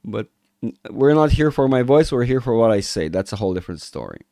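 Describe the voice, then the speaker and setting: A man hosting a live market chat with viewers, rambling and restarting sentences, his voice rough from a cold. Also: medium pitch, big pitch swings, quick pace, dark tone, dry recording, studio quality. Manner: rambling, self-interrupting, reads chat aloud. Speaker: market analysis livestream host. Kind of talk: casual livestream chat with viewers.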